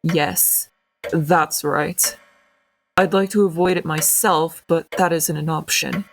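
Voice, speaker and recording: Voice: medium pitch, steady tone, slow pace, creaky, a little hiss or hum. An actor playing a cold, serious young English boy earl in a manga dub, speaking in a curt, formal, commanding way. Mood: cold, stern. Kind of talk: scripted anime-style manga dub acting.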